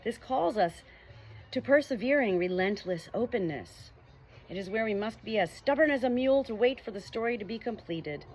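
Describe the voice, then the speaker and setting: A woman's voice: a little high, lively intonation, normal speed, darkish tone, a little hiss or hum, low-quality recording. A woman reading a nonfiction book aloud as an audiobook. She reads earnestly and lifts her voice on the questions. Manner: earnest, rising emphasis on questions. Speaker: audiobook reader.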